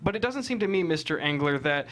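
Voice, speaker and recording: A man's voice: on the high side, lively intonation, quick pace, fairly bright tone. A man playing a probing, argumentative defense lawyer with methodical questioning in a courtroom drama table read.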